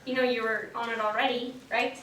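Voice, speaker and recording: Actress as a bright-voiced, gentle confidante, echoey stage. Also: female voice, fairly high pitch, level tone, fairly quick, natural voice. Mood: reassuring, confiding. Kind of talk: stage play acting. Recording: echoey stage, distant mic, faint noise.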